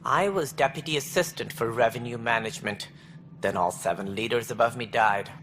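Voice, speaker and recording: An actor playing an officious alien station director in a video game, speaking precisely and formally with a wry, earnest streak. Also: male voice, mid-range voice, a little fast, a bit bright, a little hiss or hum, close to an everyday voice. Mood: reflective. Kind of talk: video game dialogue acting.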